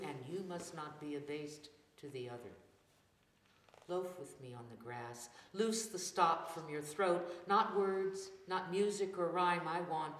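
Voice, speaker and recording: Elderly female poetry reader, lowish voice, deliberate phrasing, reverberant hall. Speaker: poet reading at a public reading. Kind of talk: poetry recitation. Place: indoors in a church hall. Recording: echoey hall, faint background noise.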